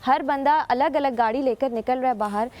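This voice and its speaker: A woman, an environmental scientist guest on a morning show, explaining her points in a measured, lecturing way. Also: high-pitched, muffled recording. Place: TV studio.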